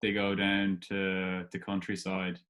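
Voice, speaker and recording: A man's voice: low-pitched, monotone, a little slow, fairly bright tone. A man hosting a casual interview, putting informal questions in a tentative, hesitant way. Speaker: podcast host, interviewer.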